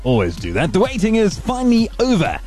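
A man's voice: high voice, wildly swooping pitch, fairly quick, a little mellow, faint music behind. A man hosting a podcast in casual chat, rambling and digressing as he talks. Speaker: podcast host.

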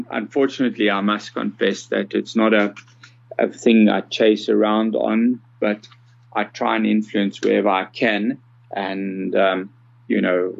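A South African man in his sixties giving conversational, measured interview answers with some hesitation. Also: on the low side, creaky, dull audio. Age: 60s. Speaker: podcast guest, accessibility consultant.

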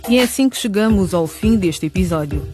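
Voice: medium pitch, animated, fairly quick, darkish tone. An announcer reading a scripted programme outro in a presentational manner. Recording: music bed behind voice.

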